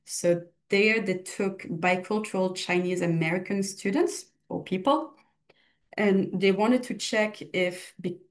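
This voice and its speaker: A woman's voice: medium pitch, unhurried. A young woman with a slight Belgian French accent, giving a casual exam-prep lecture full of personal examples and self-corrections. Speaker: exam tutor. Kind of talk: recorded study lecture.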